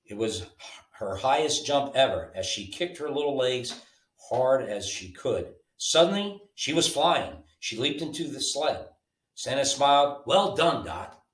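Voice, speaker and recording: A man reading a children's bedtime story aloud in an animated, informal way, like a storyteller with a picture book. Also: medium pitch, a little slow. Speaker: storybook reader. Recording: roomy, mic at a distance.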